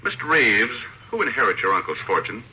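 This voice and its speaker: A man's voice: medium pitch, very animated, fairly quick, pushed and bright. An actor playing a businesslike male investigator firing probing questions in an old radio crime drama. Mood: brisk, probing. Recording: lo-fi vintage radio, faint noise.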